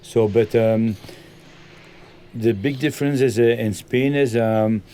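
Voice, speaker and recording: A man from Belgium, a football coach, answering interview questions in halting full of ums and loose grammar. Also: medium pitch, steady tone, dark tone, a little hiss or hum.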